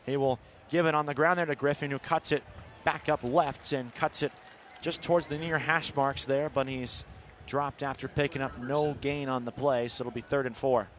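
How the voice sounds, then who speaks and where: A man's voice: mid-range voice, fairly even intonation, normal speed, fairly bright tone. An American man calling college football play-by-play live on the radio from a stadium. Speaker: play-by-play radio sports commentator. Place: football stadium broadcast position. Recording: outdoor stadium background noise.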